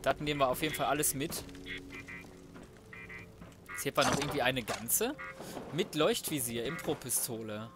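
A man's voice: mid-range voice, animated, moderate pace, pauses often, over music. A man giving casual gaming commentary, thinking aloud in a colloquial, cheeky way. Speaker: let's player.

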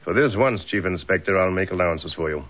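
Stern, low-voiced British police superintendent. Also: male voice, a little fast, a bit bright. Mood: stern, disapproving. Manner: stern, sardonic, curt. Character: gruff, suspicious, by-the-book police superintendent, older superior. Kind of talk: British radio drama acting. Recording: dull, lo-fi radio drama recording.

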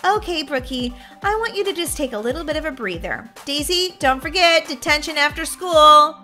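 A woman voicing a firm but kindly female schoolteacher in a patient, gently scolding way. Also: high voice, animated, moderate pace, soft background music, pitched below the performer's own voice. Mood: gently stern.

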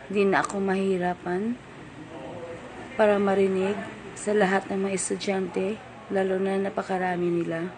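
A woman's voice: mid-range voice, flat delivery, normal speed, pauses often, crowd noise, low-quality recording. A woman teacher giving a casual spoken review of a product she bought.

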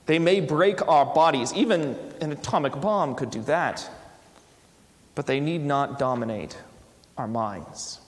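A man in a church doing a dramatic reading as an eloquent, stoical British man of letters, with a put-on British accent and emphatic, measured delivery. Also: on the high side, natural voice. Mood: stirring, firm. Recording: reverberant church hall.

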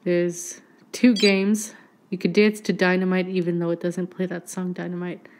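A woman's voice: lowish voice, moderate pace, a little hiss or hum. Chatty stuffy-nosed woman vlogger. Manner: chatty and excitable, laughs often. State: stuffy nose from allergies. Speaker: YouTuber. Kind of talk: casual vlog. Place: small bedroom indoors.

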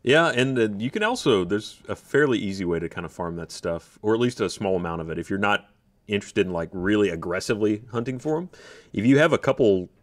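A man's voice: lowish voice, expressive, fast talker. A man in his thirties giving casual, opinionated gaming talk as a co-host on a studio microphone. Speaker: podcast co-host. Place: podcast studio. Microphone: desk studio microphone.

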